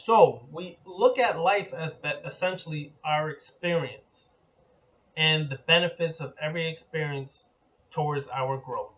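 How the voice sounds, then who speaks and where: A man giving a spoken lecture as an occult teacher, measured and deliberate, building his points in lists. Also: fairly high pitch, fairly bright tone, far from the mic, lo-fi sound.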